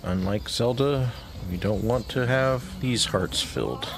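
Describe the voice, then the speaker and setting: A man leading a game raid on stream, calmly calling out mechanics and giving instructions. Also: on the low side, measured pace. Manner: calm, instructive callouts with short laughs.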